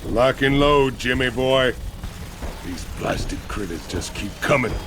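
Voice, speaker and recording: An actor playing a cocky, rough outlaw space marine in a video game, with a gravelly Southern US drawl and folksy, wry talk. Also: male voice, medium pitch, expressive, slow, noisy background. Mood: cocky, grumbling. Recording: music behind the voice.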